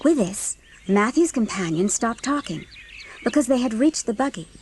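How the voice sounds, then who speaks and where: A woman's voice: a little high, animated, normal speed, darkish tone, slight background noise. A woman narrating a dramatised audiobook from a script, telling the story in literary prose.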